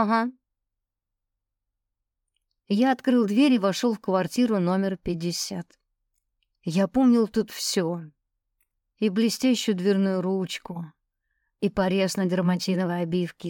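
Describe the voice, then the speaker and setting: A woman's voice: on the low side, darkish tone, dry recording. Woman narrating fiction, very dry close sound. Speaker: audiobook narrator. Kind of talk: scripted first-person fiction narration.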